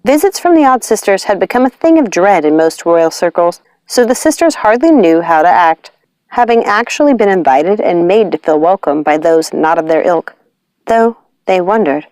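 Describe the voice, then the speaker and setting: A woman reading a fantasy storybook aloud in an expressive narrating style. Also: fairly low pitch, moderate pace, no echo. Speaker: audiobook reader.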